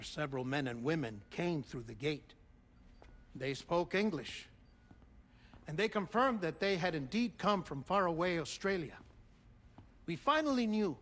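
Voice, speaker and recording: Game cutscene: eccentric male scientist, conversational storyteller, theatrical and affable, higher register, faint background noise. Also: a bit bright. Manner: theatrical, conversational storytelling with chuckles and dramatic pauses. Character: eccentric, affable male researcher recording an explanatory message. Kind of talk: video game cutscene monologue, explanatory narration.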